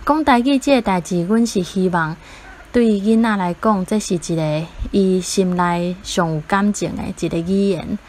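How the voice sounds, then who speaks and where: A woman's voice: medium pitch, moderate pace, a little mellow, slight background noise. A woman with a Taiwanese accent, sharing her experience as a parent in a casual interview.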